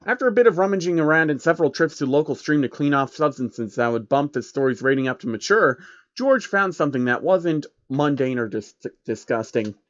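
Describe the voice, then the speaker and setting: A man's voice: fairly high pitch, animated, brisk, dry recording. A man narrates a comic story in a casual, irreverent way, dropping in sweary asides. The sound is close and dry, slightly dull and lo-fi. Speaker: narrator of online fan fiction.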